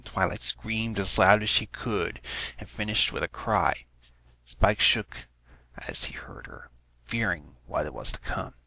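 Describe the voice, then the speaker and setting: A man's voice: fairly low pitch, vocal fry, sharp tone, breathy edge, no echo, low-quality recording. A man giving a scripted narration of a story as an amateur reader. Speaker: amateur fan fiction reader.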